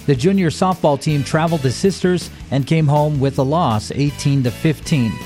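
A man reads a scripted local news bulletin in a mellow, fairly high-set voice. Music plays faintly behind him as he works through community updates. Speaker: local radio news reader.

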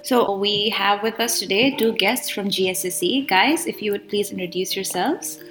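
Young female co-host, mid-pitched, reads from script over faint music. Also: moderate pace, a bit bright. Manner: reading a prepared introduction. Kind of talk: radio show hosting.

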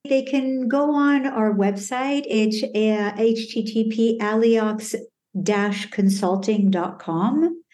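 Woman with a mellow voice. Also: fairly high pitch, slow pace. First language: French. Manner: expressive, candid, talks in vivid images. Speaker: executive coach, interview guest. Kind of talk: casual conversation.

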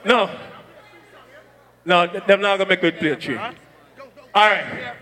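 A high-pitched man from Trinidad hypes a sound clash crowd, shouting calls with a bright, forceful edge. His voice rings through a reverberant hall with music faintly underneath. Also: unhurried. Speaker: sound system selector. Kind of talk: hyping a live sound clash. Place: packed dance hall at a live event.

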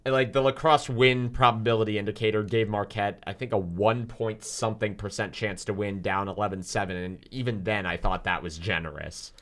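A young man talking loudly and energetically as a student sports reporter on a casual podcast. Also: on the low side, a little fast, few pauses. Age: young adult. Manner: loud, energetic talker.

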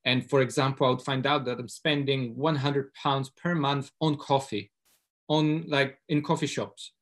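Man, mid pitch, nervous questioner who stops and restarts a lot. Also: little pitch movement, normal speed, fairly bright tone. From Poland. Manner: hesitant, many uhs and restarts. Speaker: audience member asking a question.

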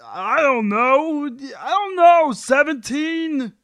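Man playing a high, whiny put-upon sitcom husband. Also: animated, slow pace, fairly bright tone, no room sound, full character voice. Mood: irritated. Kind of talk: amateur sitcom table read.

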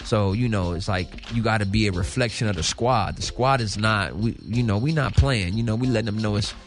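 A man's voice: lowish voice, a little fast. A man chatting casually as a radio interview guest, emphatic and slangy, repeating himself and checking in with the listener. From South Central Los Angeles, California. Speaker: guest, music artist. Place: radio show studio.

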